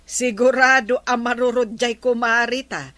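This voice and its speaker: A woman's voice: fairly high pitch, fairly even intonation, measured pace, fairly bright tone, dry recording. A woman acting an eager village gossip in an Ilocano comedy drama, with an Ilocano accent. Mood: gossipy. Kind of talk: Ilocano radio-style comedy drama dialogue.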